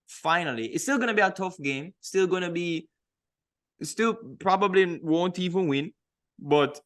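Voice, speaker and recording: A man's voice: on the high side, a bit bright. A man co-hosting a podcast in casual football banter, speaking informally and emphatically with slang. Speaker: podcast co-host.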